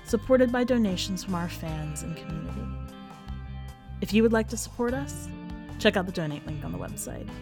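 A woman's voice at a medium pitch, presenting literary fiction on a podcast. She is deliberate and measured, in the manner of a story reader and host. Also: animated, music in the background.

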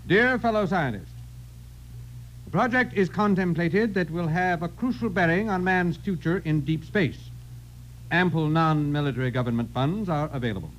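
An actor playing a brusque, self-important head of a scientific foundation in a 1950s radio drama, clipped and commanding. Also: male voice, fairly high pitch, a little hiss or hum, natural voice. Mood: brisk, commanding. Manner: clipped, commanding, formal dictation. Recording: dull, humming vintage radio broadcast recording.

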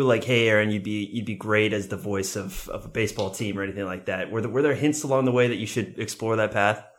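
Low-ish voiced guy hosting an interview, lots of uhs and restarts. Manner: casual, halting questions with fillers. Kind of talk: podcast interview.

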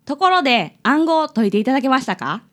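A woman's voice: high-pitched, big pitch swings, quick pace. A woman playing a polite, formal leader of a detective fan club in a radio drama. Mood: earnest. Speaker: visiting client in a radio drama.

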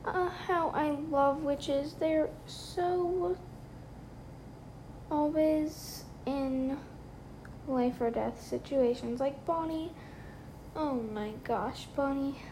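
High, slow, rambling young woman. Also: mellow, slight background noise, rough recording. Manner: chatty, rambling, lots of fillers. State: tired, late at night. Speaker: podcast host. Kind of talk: casual solo podcast chat. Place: her bedroom at night.

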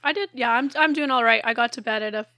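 A woman guest joins a podcast chat, easygoing and light. Her voice is fairly high and bright, and the recording is dry and close. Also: quick pace, dry recording.

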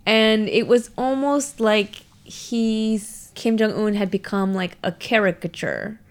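A woman's voice: medium pitch, slow. A woman hosting a podcast, blunt and teasing in casual chat, with lots of surprised exclamations. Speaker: podcast host.

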